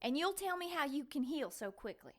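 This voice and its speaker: A woman's voice: high voice, sing-song, brisk. An actress playing a wary, indignant woman in an audio drama, asking pointed questions. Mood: wary, offended.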